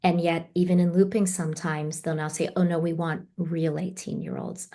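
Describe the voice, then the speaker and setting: A woman's voice: on the low side, flat delivery, normal speed, dark tone. A woman voice actor asking earnest, polite questions on a video call.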